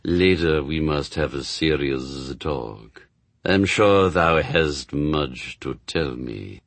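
Slow, buzzing demon lord. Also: male voice, extremely low pitch, expressive, creaky, no room sound, pitched below the performer's own voice, heavily acted. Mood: stern. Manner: slow, imperious, archaic phrasing. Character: Beelzebub, grave, slow, archaic-tongued male demon lord.

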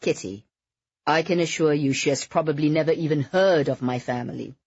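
A woman's voice: low-pitched, a little fast, darkish tone, breathy edge, dry recording, highs cut off. A woman voicing an exasperated, cautious man who reasons firmly with a client in an audiobook. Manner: firm, reasoning. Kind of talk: audiobook character dialogue.

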